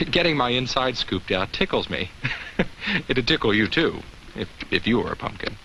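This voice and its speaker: A man's voice: medium pitch, very animated, unhurried, sharp tone, heavily acted. A man voicing a cheerful, kindly talking pumpkin who gives children simple safety advice in a 1980s educational film, asking them questions. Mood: tickled. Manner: simple, instructive, addresses children with questions and chuckles. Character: talking jack-o'-lantern, cheerful, kindly, safety-minded narrator. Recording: faintly noisy old educational film soundtrack.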